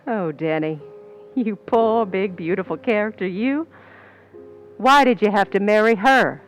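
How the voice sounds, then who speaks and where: A woman's voice: medium pitch, unhurried, faint music behind, close to an everyday voice, low-quality recording. A woman playing a cool, ambitious, scheming young Hollywood stand-in in an old-time radio suspense play, with hard-boiled, knowing delivery. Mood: guarded, coaxing. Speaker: actress in a community playhouse radio play. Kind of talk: old-time radio suspense acting with first-person narration.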